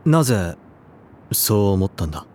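An actor playing a serious, reserved high school boy, speaking in a stiff, earnest way in an anime audio drama. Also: big pitch swings, moderate pace, warm tone. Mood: reserved, calm. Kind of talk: anime audio drama dialogue and letter reading.

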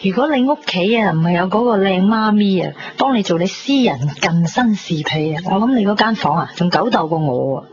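A woman's voice: medium pitch, animated, brisk, few pauses, darkish tone, close to an everyday voice. A woman playing a bubbly, vain, chatty young woman with a Hong Kong accent in a 1990s radio drama. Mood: excited, teasing. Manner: chatty, dramatic, many exclamations and particles. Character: bubbly, vain young woman fretting over party outfit. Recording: muffled 1990s radio broadcast under music.

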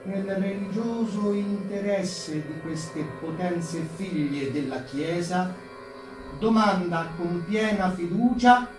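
A man delivering dramatic theatrical narration of history, declamatory and emphatic. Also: high-pitched. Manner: declamatory, emphatic, measured pauses. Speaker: stage actor, narrator. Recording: roomy, distant stage sound with music.

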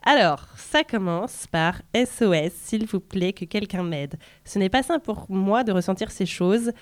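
A woman radio host runs a music quiz with a playful, informal delivery. Her voice sits at a middle pitch for a woman and is recorded very dry, as in a close studio. She moves between casual chat and reading lines out in a self-deprecating way. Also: unhurried, no room sound. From France.

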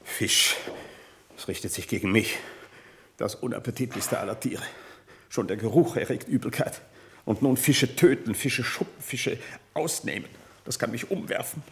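An actor playing a weary, self-pitying old servant in a radio play, husky, formal and florid in a plaintive way. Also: male voice, mid-range voice, moderate pace, fairly bright tone, heavily acted. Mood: disgusted. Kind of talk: German radio play acting.

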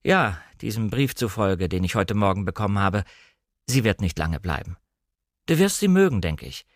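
A man voicing a brisk, decisive English gentleman, master of an estate, in matter-of-fact audiobook dialogue. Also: fairly low pitch, big pitch swings, no room sound, studio quality.

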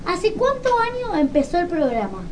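A woman's voice: extremely high pitch, huge pitch swings, moderate pace. An Argentine woman asking questions as an informal radio interviewer. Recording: noisy room, distant microphone.

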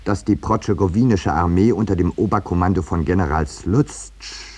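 Radio play lead: urbane middle-aged painter-narrator, low register, wry, self-important, measured delivery. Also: male voice, warm tone, breathy edge. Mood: wry, reflective. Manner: measured, ironic, slightly pompous. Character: landscape painter Eduard Merlin, urbane wry storyteller, looking back.

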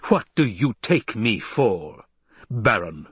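A man's voice: on the low side, sing-song, slow pace, a bit bright, husky, dry recording, full character voice. A man voicing an imperious, mocking older Emperor in a dramatic audiobook, with a biting, domineering delivery. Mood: enraged.

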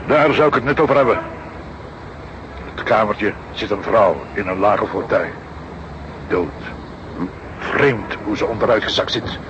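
A man playing a gruff, grumbling police photographer in a radio drama, describing things vividly. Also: fairly low pitch, a little slow, long pauses, natural voice. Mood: appalled, descriptive. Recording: muffled radio recording with clear noise.

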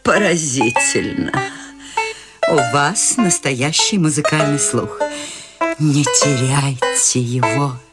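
A woman's voice: deep voice, loud music behind the voice. Serene, whimsical old lady. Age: 80s. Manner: calm, gently amused, unhurried. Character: serene, whimsical eighty-year-old woman. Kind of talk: radio play acting.

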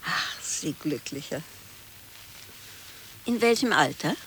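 A woman's voice: sits low, lively intonation, slow pace, a bit bright, full character voice. An actress playing a genteel, politely inquisitive woman with a mannered way of talking, in an absurd comic radio play. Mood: polite curiosity. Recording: hissy radio play recording.

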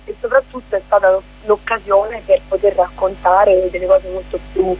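A woman's voice: medium pitch, fairly even intonation, normal speed, narrow, tinny audio, faint music behind. A woman speaking as a guest theatre director in a conversational radio interview, explaining things discursively.

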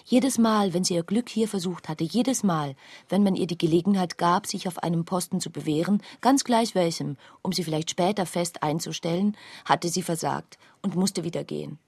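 An actress playing a woman who soberly relays her friend's story in a radio play, in a measured storytelling manner. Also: on the low side, darkish tone, slightly rough, no room sound. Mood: sober, insistent. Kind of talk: literary radio play narration.

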